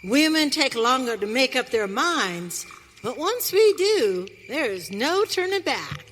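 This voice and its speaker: A woman's voice: sits high, very animated, a bit bright, exaggerated voice. A woman playing a feisty pioneer wife in a western radio play, with an American accent and folksy, emphatic frontier speech. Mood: indignant. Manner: folksy frontier dialect, emphatic, drawn-out delivery. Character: Maggie, feisty pioneer wife on a wagon train. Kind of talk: live western radio theater acting. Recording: hall reverb, faint noise.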